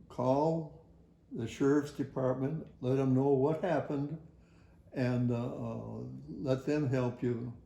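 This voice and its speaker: An older man tells a personal story from his working life in a halting, rambling, folksy way. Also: medium pitch, gravelly, dark tone, echoey room. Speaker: retired air traffic facility worker. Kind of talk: personal oral history storytelling.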